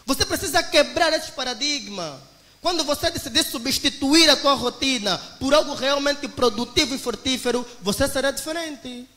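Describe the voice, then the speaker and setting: High bright Angolan man in church hall. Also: animated, normal speed. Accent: Angolan Portuguese. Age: 20s. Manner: emphatic, preacher-like, addresses listeners as brothers. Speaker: young business owner giving a testimony. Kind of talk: motivational talk to a church congregation.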